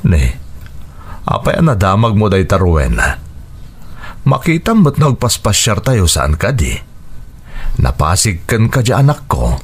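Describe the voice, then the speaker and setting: A man's voice: fairly low pitch, wildly swooping pitch, a little slow, long pauses, breathy edge, exaggerated voice. An actor playing an affectionate older widowed father in an Ilocano radio drama, courting a younger woman. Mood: tender, persuasive.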